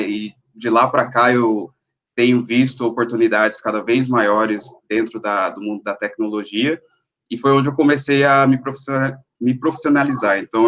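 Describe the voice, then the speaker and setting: Low-voiced polite man in his thirties. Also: dull audio. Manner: polite, measured, some hesitations. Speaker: panelist, marketing tech professional. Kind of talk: self-introduction at a live online event.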